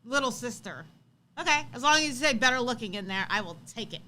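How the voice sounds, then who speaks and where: High-pitched, bright-voiced woman bantering on livestream. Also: quick pace. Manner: chatty, animated, teasing. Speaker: co-host of a live Q&A stream. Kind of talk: casual playful banter with viewers.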